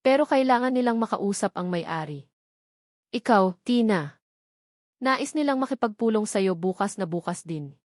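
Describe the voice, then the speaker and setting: A woman voicing an elderly male lawyer, gentle, measured and formal, in an audio drama. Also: mid-range voice, expressive, no room sound, studio quality, lightly acted. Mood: earnest, hopeful. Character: gentle, sorrowful old male lawyer on the phone.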